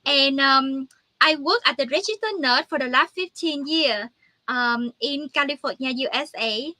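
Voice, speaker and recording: A woman's voice: sits high, a little slow, pushed and bright, no echo. A woman in her forties, an upbeat and enthusiastic guest in a casual online interview. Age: 40s.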